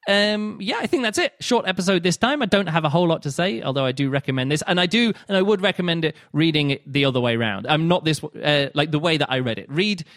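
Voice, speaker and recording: A man's voice: on the high side, lively intonation, fast, few pauses, fairly bright tone, no room sound, clean studio sound. A man hosting a solo podcast, chatting casually and rambling with many fillers and restarts. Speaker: podcast host.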